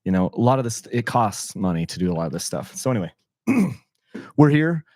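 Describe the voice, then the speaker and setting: Middle-aged male host, slightly hoarse, loose and chatty, lowish pitch, dry close recording. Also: very animated, a little fast, darkish tone, no echo. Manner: rambling, self-correcting, chatty. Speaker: channel host running a sweepstakes livestream. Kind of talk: casual livestream talk. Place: room in his house.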